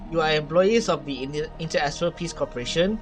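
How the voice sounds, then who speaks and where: A man's voice: on the high side, quick pace, music in the background. A man reading a video game's story aloud in a theatrical way as he plays. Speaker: gaming streamer. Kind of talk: reading game dialogue aloud while reacting.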